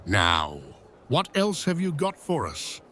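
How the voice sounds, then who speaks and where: A man playing a grand, excitable Roman emperor in a fantasy audio drama, given to flustered stammering. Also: fairly high pitch, takes it slowly, heavily acted. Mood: curious, playful. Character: excitable, easily swayed male Roman emperor. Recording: faint music underneath. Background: music.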